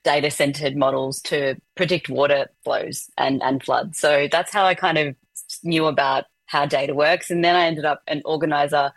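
A woman's voice: sits low, no echo. A woman from Sri Lanka, a guest and community organizer, giving earnest, explanatory answers in an interview.

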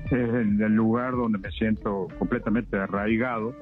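An older man from Argentina, a puppeteer guest, answering radio interview questions in a reflective, measured way with many hesitations. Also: fairly low pitch, fairly even intonation. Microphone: phone. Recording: tinny phone line, faint music.

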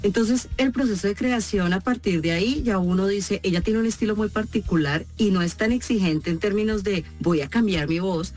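A Colombian woman, a voice actress, answering interview questions in an expressive storytelling way. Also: mid-range voice, moderate pace, hardly any pauses, faint music behind. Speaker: voice actress guest.

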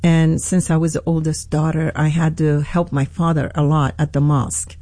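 Iranian-accented woman pastor, radio guest, mellow tone, dry close sound, earnest and halting. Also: sits low, little pitch movement, no room sound. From Iran. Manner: halting, earnest, many restarts. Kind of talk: radio interview.

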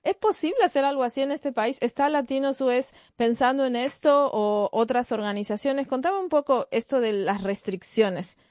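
Higher-voiced Argentine woman interviewer. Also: moderate pace, hardly any pauses, darkish tone, no room sound, studio quality. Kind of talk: conversational interview questions.

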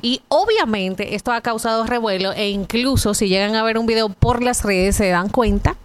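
A woman's voice: fairly high pitch, moderate pace. A chatty, exclamatory woman, co-hosting a casual radio talk. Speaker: radio co-host. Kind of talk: casual chat.

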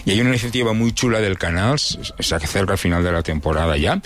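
A man with a deep, low voice reads through the local news on the radio. He keeps it casual and chatty, pausing on fillers as he goes. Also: a little hiss or hum. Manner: relaxed, chatty, halting with fillers.

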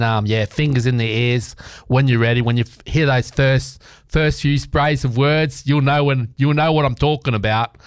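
A man's voice: medium pitch, clear, resonant tone, dry recording, studio quality. A man with an Australian accent presenting a radio show and interviewing in a casual, rambling, jokey way.

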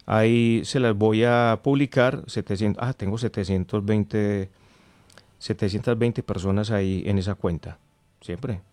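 A man's voice: lowish voice, unhurried, a little mellow, dry recording. A man with a Colombian accent hosting a radio show in an informal, chatty way, often repeating his phrases. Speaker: radio host. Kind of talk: casual late-night radio chat with listeners.

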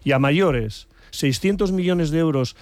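A man's voice: a little high, measured pace, no room sound. A man debating on a panel in an indignant, emphatic way, repeating words for emphasis. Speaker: panelist in a political discussion. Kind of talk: casual, opinionated debate.

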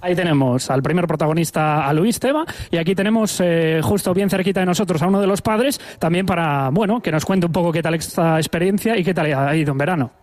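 A man's voice: fairly high pitch, fast talker, hardly any pauses, a little mellow. A man reporting live for radio an indoor event, chatty and upbeat as he interviews people. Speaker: field reporter. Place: indoor room with children.

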